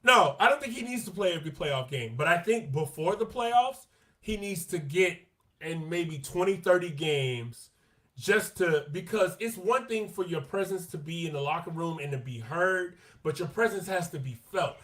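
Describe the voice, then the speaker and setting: A man talking as a podcast co-host in an easygoing sports chat. His voice sits a little on the high side, and he gets animated and slangy, repeating his points for emphasis. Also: normal speed. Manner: animated, quotes players in their own voices.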